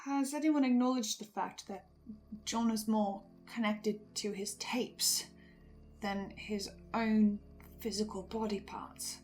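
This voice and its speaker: A woman giving reflective recap commentary, earnest and musing, often in short halting phrases. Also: medium pitch, steady tone, darkish tone, faint music behind. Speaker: fan commentator playing a researcher.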